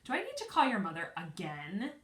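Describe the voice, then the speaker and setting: A woman reading a storybook aloud as a strict, unfriendly neighbour lady, high-voiced, clipped and condescending. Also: huge pitch swings, a little fast, a bit bright. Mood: stern, condescending. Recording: roomy echo, distant from mic.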